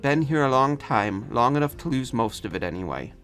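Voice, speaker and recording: A man voicing a gruff, scornful, foul-mouthed Irishman with a slight brogue in a narrated story. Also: mid-range voice, lively intonation, a little fast, a bit bright, soft background music. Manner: gruff, scoffing, cursing.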